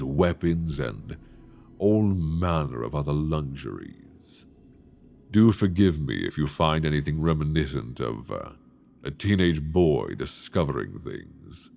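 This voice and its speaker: A man voicing a possessive, teasing dragon king in human form in an ASMR audio roleplay, speaking slowly and intimately into a binaural mic. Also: exaggerated voice. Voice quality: deep, gravelly. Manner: slow, intimate, teasing, soft-spoken.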